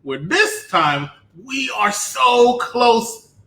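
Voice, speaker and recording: A man's voice: very high-pitched, very animated, extremely slow, pushed and bright. A man hosting a casual review show in an announcer-like, teasing way. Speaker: podcast host. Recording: roomy echo with faint noise.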